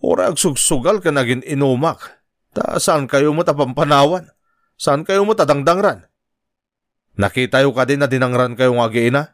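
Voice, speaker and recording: A man's voice: medium pitch, normal speed, dry recording, clean studio sound, natural voice. A man playing an irresponsible, gambling, hard-drinking father in an Ilocano audio drama, with an Ilocano accent. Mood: subdued, tender. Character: gruff, irresponsible gambling drunkard father and husband. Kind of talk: Ilocano radio-style drama acting.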